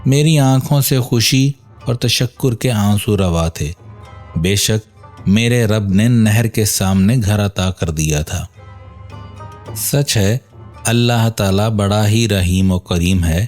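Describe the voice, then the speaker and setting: A man narrating a scripted religious moral story in a measured, earnest storytelling manner. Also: on the low side, darkish tone. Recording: voice over background music. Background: music.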